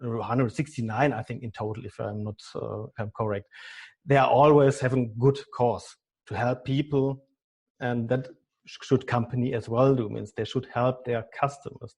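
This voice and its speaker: Middle-aged man, German accent, husky voice, halting, repeats words, podcast guest. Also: medium pitch, darkish tone. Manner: halting, frequent repeated words, non-native phrasing. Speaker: business consultant and coach. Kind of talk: podcast interview.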